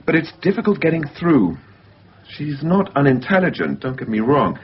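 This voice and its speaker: A man playing a measured, understated mental-health worker briefing a colleague in a naturalistic radio drama. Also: a little high, big pitch swings, a little hiss or hum. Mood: grave, matter-of-fact. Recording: dull lo-fi radio recording.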